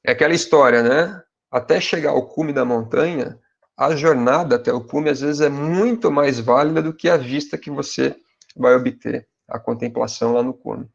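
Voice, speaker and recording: A man in his forties with a Brazilian Portuguese accent and a gravelly, mid-range voice advises graduate students in a relaxed, conversational way. He addresses his viewers directly and often adds little tags asking if they follow. The audio is a bit dull and lo-fi. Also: mid-range voice, moderate pace. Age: 40s. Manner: speaks directly to viewers as "pessoal". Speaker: university professor. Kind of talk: advice talk to graduate students.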